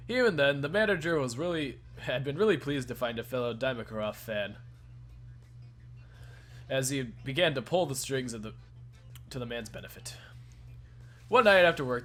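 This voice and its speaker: A man reads a story aloud at an ordinary, middle pitch, with music playing softly behind him. He narrates informally, tripping on words and going back to fix them. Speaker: narrator in a fan reading of a visual novel. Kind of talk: cold-read story narration with stumbles and asides.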